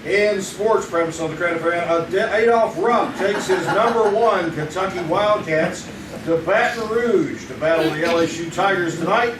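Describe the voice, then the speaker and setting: An actor in a live radio play, playing a 1950s radio announcer who reads the news in brisk announcer style. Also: male voice, high voice, close to an everyday voice. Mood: formal. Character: 1950s male radio newsreader. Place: live theater stage. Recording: echoey live theater from far off with room noise.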